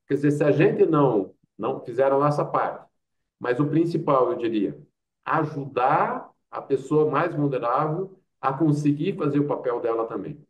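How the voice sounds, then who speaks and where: A man's voice: mid-range voice, normal speed, far from the mic, low-quality recording. A man with a Brazilian accent, an interview guest telling anecdotes and explaining his points with rhetorical questions and quoted asides. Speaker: interview guest, doctor and public health expert. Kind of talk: conversational interview, anecdotal storytelling.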